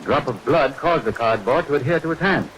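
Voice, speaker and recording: An actor playing a grave, precise professional man in a 1940s radio drama, speaking in a measured, formal, explanatory way. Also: mid-range voice, lively intonation, natural voice. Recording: noisy vintage radio recording, rain over it.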